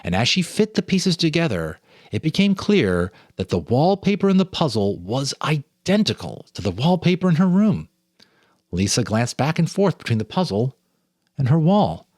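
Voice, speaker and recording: A man's voice: fairly high pitch, sing-song, normal speed, darkish tone, no echo, clean studio sound. A man reading a ghost story aloud as a storyteller, with a theatrical, suspenseful delivery. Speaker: storyteller, host.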